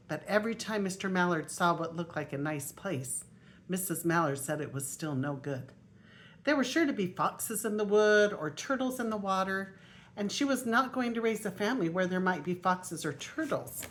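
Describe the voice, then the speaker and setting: An older woman reads to young children as a grandmother would. Her pitch is on the low side and she leans on the story words deliberately. The homemade recording has a little noise behind her. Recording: faint noise on homemade video.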